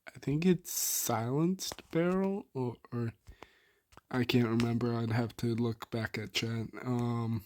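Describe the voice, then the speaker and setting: Hoarse, laid-back young man gamer. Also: medium pitch, steady tone, takes it slowly, a little mellow, a little hiss or hum. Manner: laid-back, filler-heavy, trailing off. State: hoarse, soft on mic. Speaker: gaming YouTuber. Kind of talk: casual weapon build rundown.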